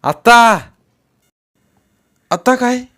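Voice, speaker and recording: A man voicing a stern grown villager in a story, loudly and insistently challenging with pointed questions. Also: very high-pitched, lively intonation, drawn-out words, pitched above the performer's own voice, heavily acted.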